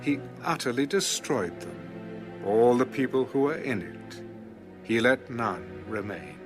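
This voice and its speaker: Male scripture narrator, dramatic scripted read with lively intonation, music behind. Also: mid-range voice. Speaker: audio Bible narrator.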